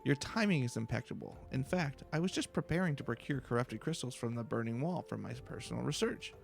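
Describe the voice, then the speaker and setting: Self-important male research student, game dialogue, performed by a man: florid, excitable, middle register with some fry. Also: mid-range voice, a little fast, soft background music. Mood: gleeful, grandiose. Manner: grandiose, alliterative, theatrical. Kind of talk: video game dialogue read aloud.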